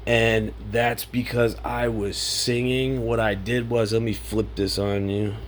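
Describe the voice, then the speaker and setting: A man in his forties talks slowly and loosely, wandering off topic as he goes. His low voice is raspy and worn from singing. He records at his home computer on a studio mic, with faint noise behind him. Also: level tone. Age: 40s. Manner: rambling, loose, self-interrupting. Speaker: vlogger. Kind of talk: unscripted casual vlog. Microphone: home studio mic through audio interface.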